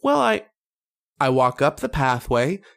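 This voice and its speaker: A dreamy young boy, acted by a man in an old-time radio play. He speaks haltingly and earnestly, with a childlike insistence and plenty of creak in a mid-pitched voice. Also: very animated, fairly bright tone, full character voice.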